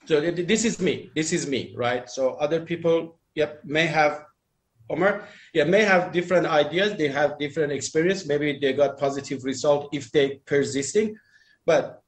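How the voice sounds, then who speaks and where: Guy with a highish voice, non-native english, rambling casual advice, repeats himself for emphasis. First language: not English. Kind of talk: casual group chat, giving dating advice.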